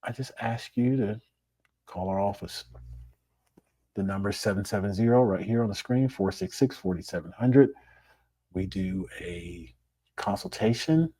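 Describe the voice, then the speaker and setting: A middle-aged man, a plastic surgeon, hosting his own podcast in a relaxed, conversational way, his voice raspy and hoarse after being ill. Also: fairly low pitch, moderate pace, long pauses, dry recording. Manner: relaxed, conversational, repeats words. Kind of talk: solo podcast monologue.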